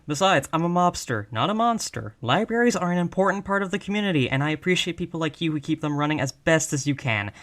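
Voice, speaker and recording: A man's voice: a little high, a bit bright. A man playing an exasperated, wry mafia boss in a conversational roleplay audio drama.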